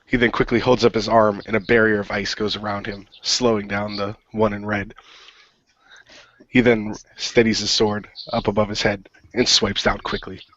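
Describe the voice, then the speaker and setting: A man's voice: lowish voice, flat delivery, moderate pace, a bit bright, no room sound, muffled recording. A man narrating his character's actions in third person, matter-of-fact, as a role-play player.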